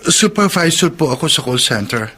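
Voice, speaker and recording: Casting: passionate young office supervisor, bright, high-pitched delivery, Filipino radio drama. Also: male voice, sing-song, normal speed, slightly rough, exaggerated voice. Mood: pleading, defensive. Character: young call center supervisor, earnest, pleading, passionate boyfriend.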